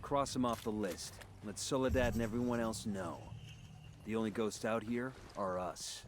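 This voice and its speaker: An actor playing a tough special forces squad leader who gives curt orders and threats. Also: male voice, fairly low pitch, fairly even intonation, moderate pace, natural voice. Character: gruff, tough male special forces soldier.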